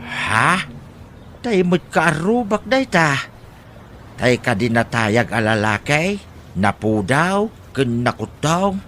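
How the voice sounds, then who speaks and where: A man's voice: mid-range voice, sing-song, slow, a bit bright, a little hiss or hum. A man playing a helpful, folksy villager with an Ilocano accent and an exclamatory way of talking in an audio drama. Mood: friendly. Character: helpful, folksy male villager with a big heart.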